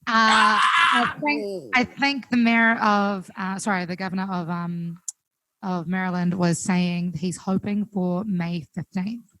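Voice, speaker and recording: A young woman with a New Zealand accent, chatting casually as a guest musician, full of fillers and quick laughs. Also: medium pitch, fairly even intonation, pushed and bright, slight background noise, rough recording. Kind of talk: casual chat on a call. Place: home, recorded remotely.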